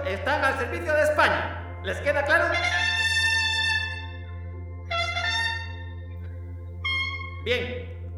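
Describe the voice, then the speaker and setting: A man's voice: very high-pitched, pushed and bright. A man playing a harsh commanding naval officer. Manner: barking commands, contemptuous. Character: harsh male Francoist naval ensign, domineering. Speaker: officer giving orders.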